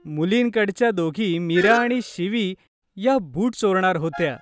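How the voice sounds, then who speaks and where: Casting: female storyteller, scripted kids' tale narration, mid pitch, music bed. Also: expressive, measured pace. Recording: music under the voice.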